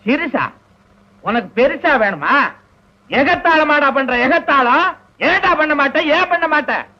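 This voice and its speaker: A short-tempered, middle-aged father who scolds his son with sharp mockery. His voice is very high and bright for a man. The old film soundtrack sounds dull and muffled. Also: lively intonation, a little slow, exaggerated voice. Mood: scolding.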